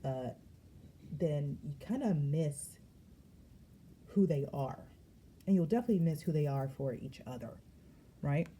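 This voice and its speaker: Woman tarot reader, low dark voice, slangy and emphatic, faint background noise. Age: adult. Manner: lively, slangy and emphatic, with frequent laughs. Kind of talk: casual spiritual reading vlog.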